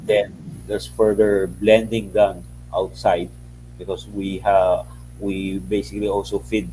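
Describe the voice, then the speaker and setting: A man with a dark, mellow voice and a Filipino accent explains figures on a call. He speaks plainly in short bursts, with faint noise on the line. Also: sits low, flat delivery. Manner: plain-spoken, short halting phrases. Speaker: mining company executive. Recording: slightly noisy call, faint hum.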